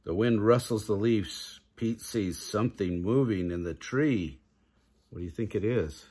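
Male, 50s, husky and unhurried, reads picture books to kids with casual asides. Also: fairly low pitch, dark tone. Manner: chuckles often, asks the listening children questions. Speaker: grandpa reading picture books aloud for kids. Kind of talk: children's storybook read-aloud with casual asides. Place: living room, indoors.

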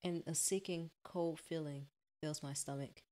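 Low-pitched woman, expressive game streamer. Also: a little slow. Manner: expressive, exclaiming reactions. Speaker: gaming streamer. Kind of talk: let's play, reading a visual novel aloud with reactions. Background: game music.

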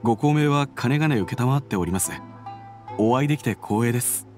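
A man playing an earnest detective agency employee in an anime game, speaking in a formal, deferential, measured way. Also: medium pitch, a little mellow, natural voice. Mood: respectful, earnest. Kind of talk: Japanese anime game dialogue. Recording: faint music under the voice.

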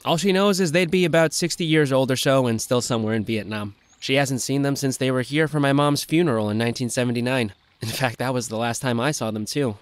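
A man's voice: medium pitch, fast, few pauses, clean tone, no room sound, natural voice. Shy young man played by an actor, dry sound. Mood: reserved, awkward. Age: young adult. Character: shy, awkward young man, a reserved loner. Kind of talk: scripted audio drama dialogue.

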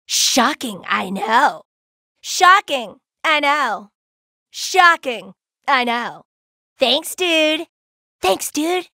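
A playful young gem warrior woman for a cartoon video game. Her voice is high and bright, swinging widely in pitch. She is brash yet laid-back, tossing out cheeky slang and exclamations in a dry, close recording. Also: sing-song, very slow, long pauses, no room sound, full character voice. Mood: playful, casual. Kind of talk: cartoon video game voice acting, short barks.

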